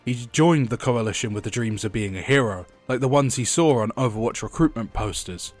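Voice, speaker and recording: A man's voice: medium pitch, animated, a little fast, soft background music. A young man with a head cold, sounding a bit congested, reading game lore for a video essay and dropping chatty asides along the way. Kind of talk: scripted video essay narration.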